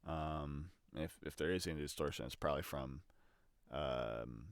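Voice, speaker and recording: Low-pitched man, clear dry tutorial voice. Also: unhurried, no echo. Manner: hesitant, thinking aloud. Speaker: audio production tutorial presenter. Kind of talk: casual explanatory screen demonstration.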